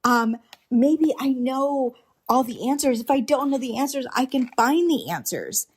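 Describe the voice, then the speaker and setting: A woman's voice: high-pitched, a little slow, husky. A middle-aged woman hosting a solo podcast, talking earnestly and unscripted, with restarts and rhetorical questions. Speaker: podcast host. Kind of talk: reflective solo podcast monologue.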